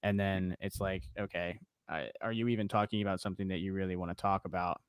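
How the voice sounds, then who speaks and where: Low-voiced American man hosting a chat. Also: fairly even intonation, fast, clean tone, no room sound, dull audio. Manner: advice-giving, conversational, many "you know"s. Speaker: podcast host. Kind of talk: casual interview chat.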